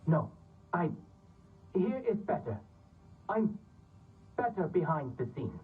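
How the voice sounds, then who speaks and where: A man's voice: a little high, big pitch swings, takes it slowly, slightly rough, exaggerated voice. A man playing a nervous, guilt-ridden tech ally in a video game, heard through a radio-like filter. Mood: hesitant, remorseful. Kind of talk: video game cutscene acting. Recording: thin phone-like voice, distant, music behind.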